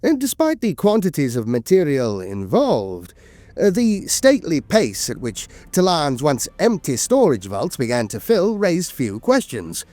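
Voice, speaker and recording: A man's voice: a little high, wildly swooping pitch, a little slow, dark tone, dry recording. A man narrating scripted lore as a storyteller, with a grave, dramatic delivery.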